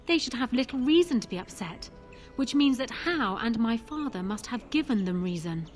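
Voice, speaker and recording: Actress as regal, resolute fantasy game queen: higher female voice, formal and measured, music underneath. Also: expressive, lightly acted. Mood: measured, persuasive. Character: proud, shrewd young widowed queen, regal and resolute. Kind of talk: dramatic fantasy video game acting.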